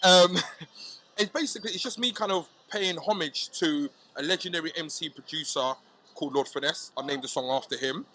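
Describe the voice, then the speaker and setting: A man chatting casually as a hip hop artist guest, animated and slangy, often asking if you know what he's saying. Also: a little high, moderate pace. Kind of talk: casual podcast chat.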